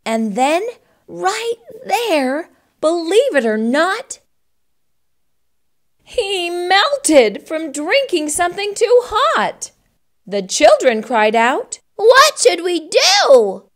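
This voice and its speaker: High-voiced, animated woman reading a storybook. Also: drawn-out words. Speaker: storytime host. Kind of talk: expressive children's storybook read-aloud.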